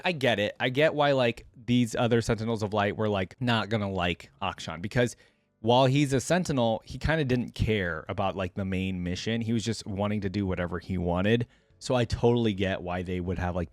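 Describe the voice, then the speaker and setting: Guy with a lowish voice doing casual lore reactions, real dry recording, chatty. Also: dry recording. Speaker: reaction video host. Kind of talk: casual reaction commentary, reading lore aloud.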